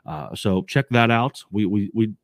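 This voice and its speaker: A man co-hosting a casual sports podcast in an upbeat, excited way, recorded in a basement home studio. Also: fairly low pitch, unhurried, dry recording. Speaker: podcast co-host.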